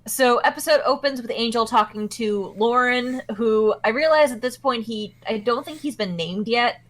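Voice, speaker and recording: A woman's voice: fairly high pitch, normal speed, a bit bright. A woman co-hosting a podcast, retelling a TV episode in a chatty way and laughing often. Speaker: podcast co-host. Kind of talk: casual episode recap.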